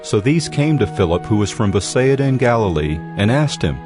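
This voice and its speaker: A man giving scripted Bible narration as a measured storyteller. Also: lowish voice, sing-song. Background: music.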